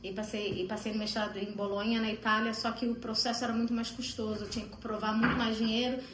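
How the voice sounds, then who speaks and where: A woman's voice: on the high side, little pitch movement, fast, roomy sound, noisy background. A woman from Brazil with a Brazilian Portuguese accent, telling her story in a chatty, rambling way with fillers. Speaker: interviewee. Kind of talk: casual interview storytelling.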